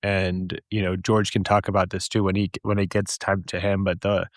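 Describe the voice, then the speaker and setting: A man's voice: low-pitched, level tone, normal speed, no echo, studio quality. A man hosting a podcast, talking casually as he introduces and questions his guests. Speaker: podcast host. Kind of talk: casual interview talk.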